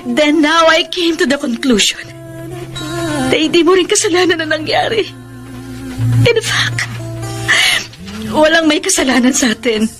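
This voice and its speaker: A woman's voice: high-pitched, expressive, measured pace, long pauses. An actress playing a heartbroken but tender wife and mother in a Filipino radio drama, speaking emotionally through tears with a Filipino accent. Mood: tearful, resolute. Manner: emotional, pleading, code-switching speech. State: crying. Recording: music bed under the voice.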